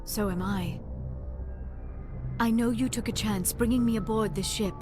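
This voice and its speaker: A woman's voice: mid-range voice, measured pace, natural voice. An actress playing a young alien archaeologist in a video game, earnest and formal, flustered when she feels embarrassed, with music playing behind her. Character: young alien woman archaeologist, shy and awkward.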